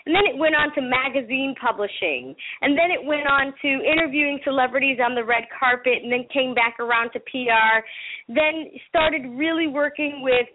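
High-voiced enthusiastic woman over a phone line. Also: moderate pace. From Panama. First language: Spanish. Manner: enthusiastic, talkative. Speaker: interview guest, entertainment entrepreneur. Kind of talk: promotional interview talk. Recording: muffled narrow-band phone line.

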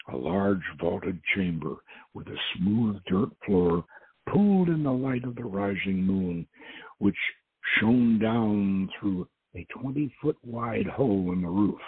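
Man, storytelling narration, gravelly fry, very husky, halting reader, narrow phone-like sound. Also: low-pitched, dry recording. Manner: halting, stumbles and corrects words. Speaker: host reading own novel aloud. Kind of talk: dramatic audiobook narration. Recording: tinny phone-line sound.

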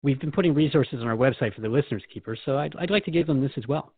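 A man's voice: medium pitch, racing, husky, dry recording, phone-quality sound. A man playing a curious, deferential interviewer, speaking in a polite, slightly hesitant conversational way in a scripted podcast. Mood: agreeable. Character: Jeff, curious, deferential male human interviewer.

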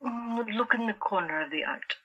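A woman's voice: fairly high pitch, expressive, moderate pace, pushed and bright, lo-fi sound. A woman playing a troubled, resigned young female asylum patient and artist in an audio drama. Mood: haunted.

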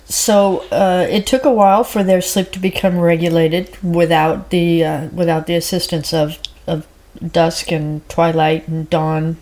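Female podcast host, a bit low-pitched, stuffy from a cold, casual and rambling with plenty of ums and uhs. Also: darkish tone. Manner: chatty, rambling with frequent fillers. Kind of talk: casual solo podcast chat.

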